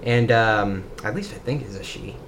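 A man's voice: on the low side, moderate pace. Young male co-host, rambly and self-mocking, faint noise behind. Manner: rambling, self-deprecating, jokey. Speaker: podcast co-host. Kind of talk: casual chat.

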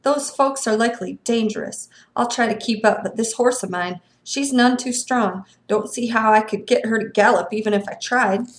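A woman's voice: medium pitch, normal speed, creaky, reverberant, natural voice. A woman voicing a fat, sleepy male constable who wheezes and grumbles in a folksy rural drawl for a storybook reading. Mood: grudging. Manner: grumbling, long-winded, suspicious.

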